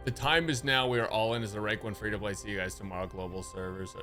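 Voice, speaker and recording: Young man, bright forceful voice, medium pitch, slangy emphatic streamer chat, casual, faint music behind. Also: fairly even intonation, fast talker. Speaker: live streamer reacting to a game.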